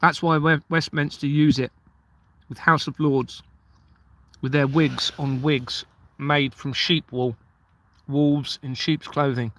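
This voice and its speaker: A man's voice: a little high, steady tone, slow, long pauses, a bit bright. A man, hosting a casual livestream, reading out viewer comments and rambling earnestly while sometimes losing his train of thought. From Kent, southeast England. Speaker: livestream host, former police officer.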